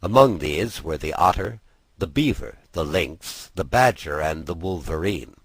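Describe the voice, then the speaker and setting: Expressive deep-voiced man narrating a story. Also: measured pace, husky, no room sound. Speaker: audiobook narrator.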